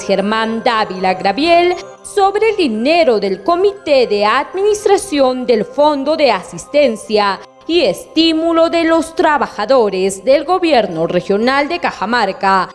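A woman with a Peruvian Spanish accent reading a scripted regional news report in a measured, formal way. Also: high voice, very animated. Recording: voice-over with faint music bed.

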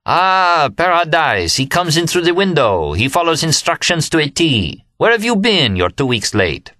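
A man voicing an exuberant, boisterous young man in an audiobook, shouting and whooping in hip jazz slang. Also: on the high side, sing-song, moderate pace, no room sound, pitched above the performer's own voice. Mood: delighted. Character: exuberant jive-talking young French man, boisterous, roaring with laughter. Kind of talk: dramatised dialogue in an audiobook.

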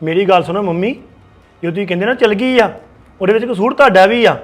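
An actor with a Punjabi accent playing a grown son who shouts at his stubborn mother. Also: high-pitched, fast, faint music behind. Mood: insistent. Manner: shouting insistently.

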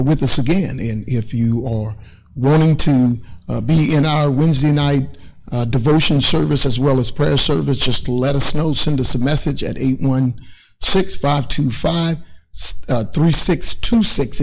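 A middle-aged man, a church minister, speaking in a halting, earnest way as he addresses his congregation and prays. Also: mid-range voice, poor audio. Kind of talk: closing announcements and prayer. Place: indoors in an empty church sanctuary.

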